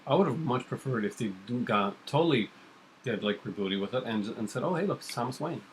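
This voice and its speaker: A man in casual chat about comics, eager and quick to jump in. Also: medium pitch, animated, slight background noise, rough recording. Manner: quick, eager, enthusiastic interjections. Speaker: comics podcast co-host.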